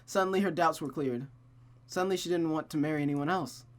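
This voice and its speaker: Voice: medium pitch, a little fast. A playful, jokey streamer who reads a visual novel aloud, moving between casual chat and dramatic story narration.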